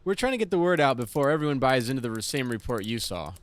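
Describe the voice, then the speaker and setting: A man's voice: medium pitch, very animated, fast talker, natural voice. A man playing a cocky, easygoing young rebel tinkerer in an audio drama, breezy and wisecracking. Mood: firm, tense.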